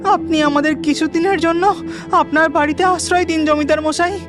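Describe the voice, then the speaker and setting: A woman's voice: extremely high pitch, steady tone, moderate pace, over music. A woman voicing an anxious male village farmer in a cartoon story, speaking urgently. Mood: pleading. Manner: urgent, pleading.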